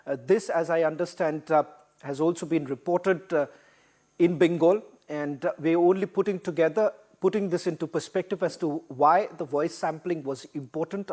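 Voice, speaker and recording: A man's voice: fairly high pitch, a little mellow. A man with an Indian accent anchoring live breaking news on television. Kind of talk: live breaking-news presenting with handover to colleague.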